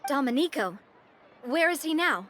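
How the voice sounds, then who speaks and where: A woman's voice: high voice, lively intonation, moderate pace, fairly bright tone, a faint crowd, a little hiss or hum. A woman playing a confident, friendly young take-charge leader in a video game, speaking in an assured, informal way. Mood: concerned, decisive. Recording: music behind the voice.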